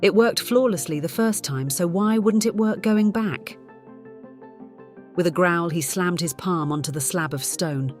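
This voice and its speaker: A woman's voice: on the low side, measured pace, a little mellow. A woman reading scripted fiction as a dramatic audiobook narrator. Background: music.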